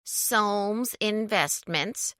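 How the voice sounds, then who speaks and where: A woman's voice: medium pitch, extremely slow, a bit bright, smooth, dry recording, voice pushed up. A woman voicing a tired receptionist with a nasal voice who answers the phone reluctantly. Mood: bored. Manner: weary, reluctant.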